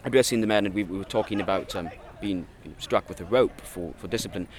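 A man acting as a posh British naval captain of noble birth, with a fairly low voice. He speaks in an affected Received Pronunciation, hesitating often but carefully enunciating his words, as a living-history character. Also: fast talker, close to an everyday voice. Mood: earnest, explanatory. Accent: put-on British Received Pronunciation.

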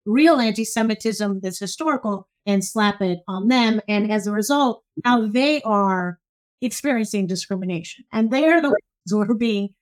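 A woman from Egypt, a law professor giving measured, emphatic explanations as an interview guest. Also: mid-range voice.

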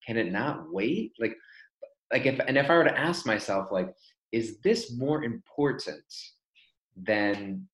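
Casting: lower-voiced man, casual and self-questioning, roomy echo. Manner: animated self-questioning, many likes and restarts. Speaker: group member. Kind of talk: casual video-call chat.

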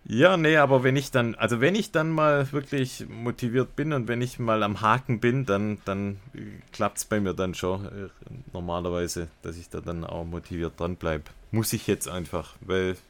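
A man's voice: on the low side, moderate pace. A man chatting casually as a podcast co-host, enthusiastic and colloquial with bits of English slang. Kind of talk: casual podcast chat and product review.

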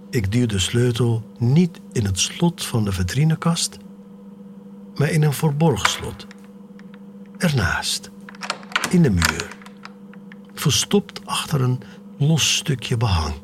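An actor playing a defiant, nostalgic middle-aged collector in a theatrical, halting audio drama monologue. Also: male voice, medium pitch. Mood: secretive. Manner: confiding, theatrical, halting and emphatic. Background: music.

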